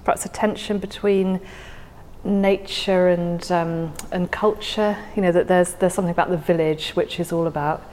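A woman's voice: on the low side, fairly even intonation, moderate pace, darkish tone, long reverb tail, slight background noise. A woman talking reflectively about poetry as an interview guest, thoughtfully repeating words as she goes. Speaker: podcast guest discussing a favourite poem.

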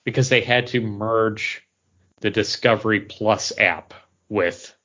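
A man's voice: lowish voice, slow pace, a bit bright, muffled recording. A man co-hosting a podcast, talking in a casual, conversational style about pop culture. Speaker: podcast co-host.

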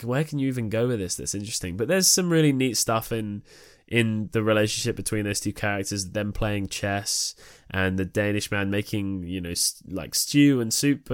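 A man's voice: lowish voice, clear, resonant tone, dry recording, studio quality. A man co-hosting a casual analytical discussion, thoughtful and discursive in the way he builds his points. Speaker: podcast co-host.

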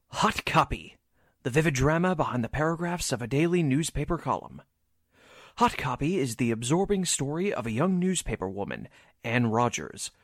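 Man, old-time radio announcer, animated intonation, deliberate stressed phrases, dry studio sound. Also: medium pitch, no room sound. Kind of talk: 1940s radio announcer narration, scripted.

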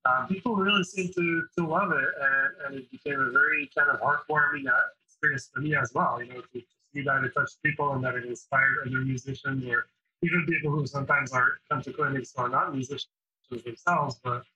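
A man's voice: medium pitch, steady tone, brisk, pushed and bright, breathy edge. A man chatting casually in an interview, telling long anecdotes with many fillers. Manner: chatty, storytelling, lots of fillers. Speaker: drummer, interview host. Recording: dull lo-fi sound.

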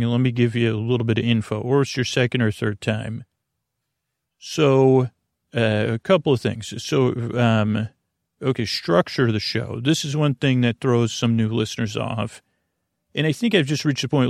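Creaky-voiced, meandering male podcast host. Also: no echo, clean studio sound. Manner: halting, meandering, repeats and trails off mid-thought; reassuring, low-pressure. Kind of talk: rambling, informal bedtime podcast monologue.

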